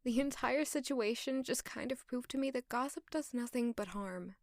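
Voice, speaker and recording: Peasant maid, female actor: kind, forgiving, earnest, higher-than-average voice, audio drama, close dry sound. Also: normal speed, no echo, close to an everyday voice.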